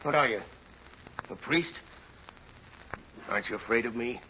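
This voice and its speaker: An actor in an old radio drama playing a desperate, embittered convict who stammers and repeats himself in a halting way. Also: male voice, medium pitch, pushed and bright. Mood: wary. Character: desperate, embittered male convict, humble then defiant. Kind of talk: 1930s radio drama acting. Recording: noisy old radio recording, muffled, reverberant hall.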